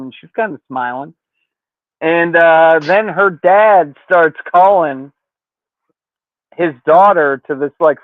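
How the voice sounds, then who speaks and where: A man's voice: on the high side, no room sound. A man hosting a podcast, casually recapping a story in slangy, profane language, with thin phone-like sound. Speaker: podcast host.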